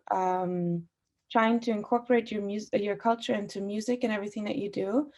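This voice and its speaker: Young female panelist, native Farsi speaker, mid-pitched, reflective with frequent pauses and fillers. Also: warm tone. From Austria. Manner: thoughtful, many fillers. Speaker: guest artist. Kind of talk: panel discussion.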